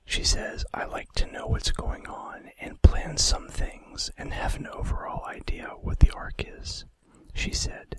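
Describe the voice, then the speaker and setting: A man hosting an ASMR video, narrating in a breathy, unvoiced whisper with a hushed, deliberate delivery and soft casual asides.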